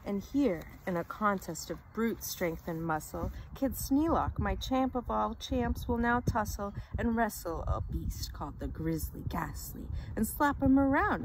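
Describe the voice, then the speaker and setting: A woman's voice: a little high, background noise. A woman reading a rhyming children's story aloud with theatrical, dramatic emphasis.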